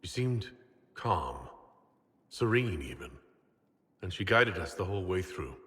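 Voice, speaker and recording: Fantasy game hero leader, lowish forceful voice, firm n formal. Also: male voice, very animated, unhurried, breathy edge, heavily acted. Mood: gentle, solemn. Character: commanding, resolute young leader of a holy war effort. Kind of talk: fantasy video game dialogue.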